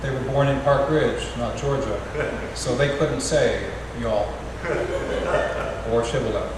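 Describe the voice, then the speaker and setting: A middle-aged American man, a parish artist in residence, reads a wry poem in a gentle, measured way with pauses at a farewell gathering. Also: medium pitch. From United States. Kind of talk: poetry reading at a farewell gathering. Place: large echoey parish hall. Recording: echoey hall from far off, noisy.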